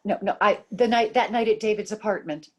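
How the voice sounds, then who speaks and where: An actress playing a fragile, frightened young woman, halting and distraught, in old-time radio melodrama. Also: mid-range voice, a little hiss or hum, natural voice. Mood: uneasy.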